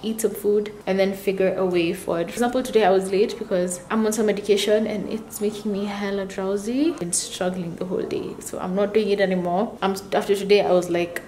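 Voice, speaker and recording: Chatty young woman vlogging to camera. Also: slight background noise. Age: young adult. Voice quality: deeper voice for a woman. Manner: chatty, relaxed, drawn-out fillers. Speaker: student vlogger. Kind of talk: casual vlog chat. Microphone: camera or phone held by herself.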